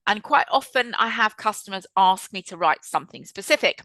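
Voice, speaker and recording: A woman in her fifties hosting a webinar over a video call, talking in a chatty, lively and reflective way. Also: medium pitch, a little fast, dry recording. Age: 50s. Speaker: webinar host and trainer. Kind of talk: presenting a webinar.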